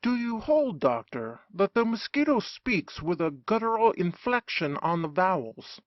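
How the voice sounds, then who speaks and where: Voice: mid-range voice, sing-song, a little slow, dry recording, lo-fi sound, pitched above the performer's own voice. A narrator voicing a grave, stoic older uncle in a comic audiobook, solemn and declaiming. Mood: inquiring. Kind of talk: comic audiobook character acting.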